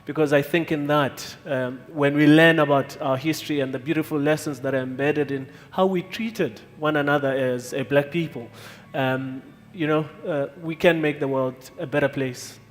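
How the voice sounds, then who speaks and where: A man speaking on a panel, his voice pitched fairly high for a man. He sounds heartfelt and searching and circles back over his words. A long hall reverb trails behind him. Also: steady tone, moderate pace. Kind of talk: panel discussion answer.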